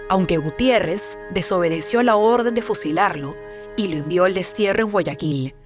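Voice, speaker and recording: Documentary narrator: woman, mid-pitched, measured and formal with a dramatic touch, music bed.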